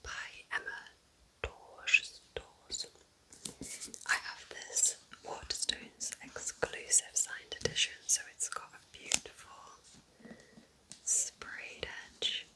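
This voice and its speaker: A woman speaking in a soft, breathy, unvoiced whisper, gently and intimately, in a casual ASMR style as she recommends books. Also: takes it slowly, poor audio.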